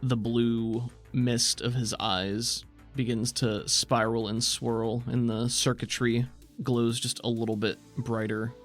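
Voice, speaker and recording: American guy running a tabletop rpg podcast, chatting through rules and narrating, medium pitch, bit of music behind him. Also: flat delivery, takes it slowly. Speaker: game master and podcast host. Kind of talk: casual tabletop rules talk and game narration.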